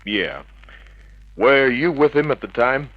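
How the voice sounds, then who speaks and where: An actor playing a tough, wisecracking private detective, sardonic and hard-boiled, in an old radio drama. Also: male voice, medium pitch, expressive, slow. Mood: dry, businesslike. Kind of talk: mid-century radio drama acting with noir narration. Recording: muffled vintage radio drama recording.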